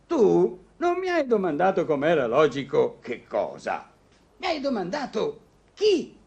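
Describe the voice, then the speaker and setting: An actor playing a teasing, insistent doctor and confidant in a vintage Italian radio comedy, theatrical and emphatic. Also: male voice, high voice, wildly swooping pitch, a little slow, creaky, darkish tone, dull audio, exaggerated voice.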